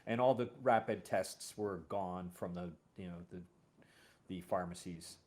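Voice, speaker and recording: Guy with a lowish voice hosting a laid-back interview, halting, keeps rewording stuff as he goes. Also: darkish tone. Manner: halting, rephrases mid-sentence. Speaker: host. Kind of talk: casual interview chat.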